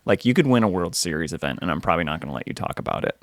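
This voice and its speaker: A young man co-hosting a casual podcast, explaining things in a relaxed, wry way. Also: low voice, racing, no echo, studio quality. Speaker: podcast co-host, poker dealer. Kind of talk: casual chat.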